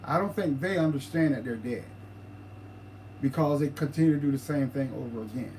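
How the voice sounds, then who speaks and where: Man, casual livestream talk, halting, repeats himself, light background noise. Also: mid-range voice, darkish tone, poor audio. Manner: halting, repeats words and restarts a lot. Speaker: paranormal livestream co-host.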